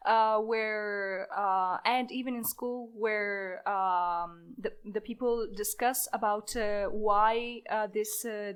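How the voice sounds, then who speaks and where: A woman's voice: mid-range voice, clean tone. A nineteen-year-old woman from Italy, a volunteer guest speaking hesitantly with many fillers in a casual podcast discussion.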